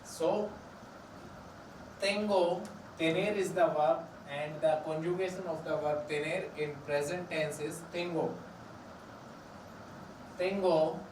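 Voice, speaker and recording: A man, teaching a classroom lesson, explaining slowly and repeating each phrase. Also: a little high. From India. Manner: slow, repetitive explaining, drawn-out words. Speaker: Spanish teacher. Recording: echoey classroom from far off, noisy.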